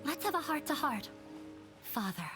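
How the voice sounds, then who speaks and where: A woman's voice: high voice, sing-song, normal speed. A young woman playing a shy, nervous newcomer dancer in a video game, hesitant and timid. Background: music.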